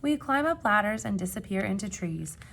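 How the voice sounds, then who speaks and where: A woman reading a children's picture book aloud like a teacher, with expressive emphasis and questions put to young listeners. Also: medium pitch, vocal fry, a little hiss or hum. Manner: expressive, dramatic gasps and emphasis, pausing to ask questions.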